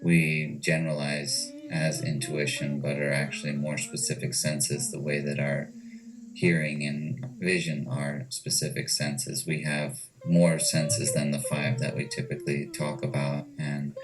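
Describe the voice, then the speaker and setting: A man with an American accent giving reflective, measured answers as an interview guest. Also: a bass voice, level tone. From United States. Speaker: interview guest, ayahuasca retreat owner. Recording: distant mic with clear music behind.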